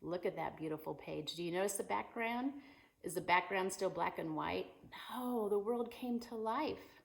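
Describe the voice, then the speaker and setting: A woman teacher reading a picture book aloud to children in an expressive, animated way, asking her young listeners questions. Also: mid-range voice, moderate pace, vocal fry. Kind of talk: animated children's story time read-aloud.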